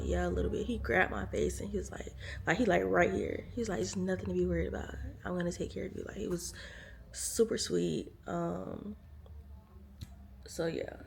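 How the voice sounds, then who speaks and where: Tired woman vlogging from a hospital room, real croaky fry in her voice, chatty, lots of ums. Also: lowish voice, a little hiss or hum. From United States. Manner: chatty, lots of fillers, speaks to viewers as y'all. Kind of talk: casual storytelling to camera.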